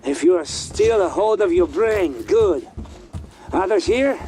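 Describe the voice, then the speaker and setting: A man playing a paranoid, unhinged survivor in a video game, speaking in halting, broken sentences. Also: sits high, lively intonation, dark tone, soft background music. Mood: grim warning. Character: paranoid, unhinged male survivor warning of mind-controlled puppets.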